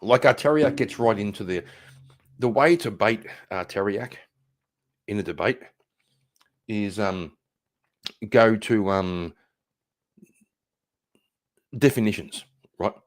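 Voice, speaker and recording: A man's voice: fairly low pitch, slow, long pauses. A man from Northern Ireland chatting casually on a livestream, blunt and profane, with many fillers. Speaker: streamer.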